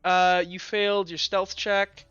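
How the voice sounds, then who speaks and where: A man speaking casually as game master of a tabletop roleplaying session, animated, informal and prone to swearing. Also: high-pitched, unhurried, smooth, dry recording, lo-fi sound, faint music behind.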